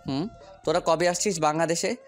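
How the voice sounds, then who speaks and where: A man's voice: a little high, fairly even intonation, fairly quick, soft background music, natural voice. A man playing a determined businessman hero in an audio story, speaking firmly and with purpose. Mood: friendly, resolved. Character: determined businessman hero, commanding boss, loyal friend.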